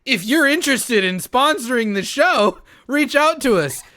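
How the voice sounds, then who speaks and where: Bright, forceful man chatting casually. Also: extremely high pitch, animated, a little slow, no echo, studio quality. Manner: sweary, slangy, enthusiastic. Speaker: podcast host and player. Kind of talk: casual chat.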